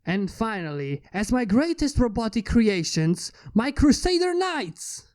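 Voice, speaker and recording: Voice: mid-range voice, big pitch swings, measured pace, darkish tone. A narrator voicing a proud, idealistic male inventor making a grand, declamatory speech in a fan fiction story. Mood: excited, boastful.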